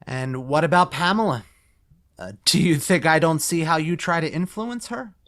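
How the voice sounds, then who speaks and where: A man's voice: on the high side, moderate pace, fairly bright tone, natural voice. Man playing earnest, principled community banker. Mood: firm. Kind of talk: musical table-read dialogue.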